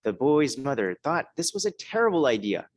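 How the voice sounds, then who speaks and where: A hoarse man, a minister, giving a conversational talk and then reading a children's story, often checking in with his listeners. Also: medium pitch, big pitch swings, normal speed, no echo. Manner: conversational, keeps checking in with "okay?" and "right?".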